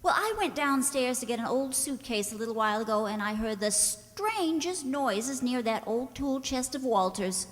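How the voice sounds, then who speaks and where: Actress as lilting, high-voiced housewife in echoey hall. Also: female voice, lively intonation, normal speed, hardly any pauses, lightly acted. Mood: timid, concerned. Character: chatty, slightly timid housewife. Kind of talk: radio play acting. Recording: echoey hall, long reverb.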